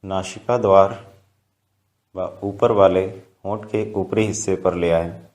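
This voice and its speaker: A man leads a guided meditation at a very slow pace. His voice is fairly low. He gives calm, measured instructions.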